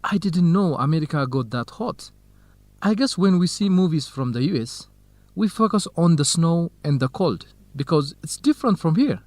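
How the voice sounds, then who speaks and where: An actor with an Ethiopian accent playing an organized, courteous, gently grumbling male researcher in an educational radio drama, speaking in a measured, explanatory way. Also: on the high side, expressive, dry recording, close to an everyday voice. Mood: surprised, grumbling.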